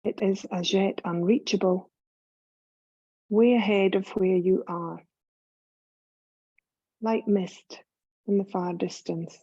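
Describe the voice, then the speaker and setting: A woman leads a guided relaxation in a soft-edged, mellow voice. She is calm and soothing, speaking very slowly and leaving long pauses between phrases. The recording sounds slightly dull and muffled. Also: medium pitch, no room sound. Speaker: yoga nidra guide. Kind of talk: guided meditation, scripted.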